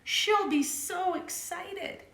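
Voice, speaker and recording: A woman's voice: extremely high pitch, very slow, fairly bright tone, echoey room, voice pushed up, full character voice. A woman voicing a small, eager young boy scooter who talks in a polite, slightly nervous way in a storybook read-aloud.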